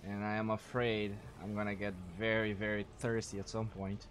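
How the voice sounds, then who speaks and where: A young man from Brazil, chatting casually while streaming a game, thanking viewers and thinking out loud. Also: lowish voice, little pitch movement, moderate pace. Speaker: streamer and caster. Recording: faint music behind, weak mic.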